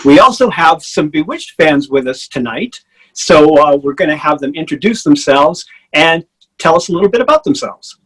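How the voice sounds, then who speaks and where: Guy hosting a fan chat, slightly high voice, friendly, calling on people one by one. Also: lively intonation, moderate pace, a bit bright, breathy edge, rough recording. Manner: friendly, introduces each guest in turn. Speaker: host. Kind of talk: hosting an online fan talk.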